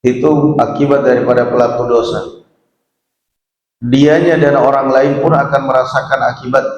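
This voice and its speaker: A man with an Indonesian accent giving a religious lecture, reciting and explaining in measured, deliberate phrases with pauses. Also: mid-range voice, fairly even intonation, muffled recording. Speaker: Islamic preacher and teacher. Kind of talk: religious lecture, reading Arabic text aloud then explaining it. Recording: very echoey hall with long reverb.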